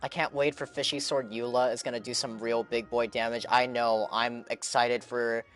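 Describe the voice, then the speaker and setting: A man's voice: medium pitch, level tone, moderate pace, fairly bright tone. Male streamer, mid-range voice, informal live reactions and slangy viewer chat, faint game music behind.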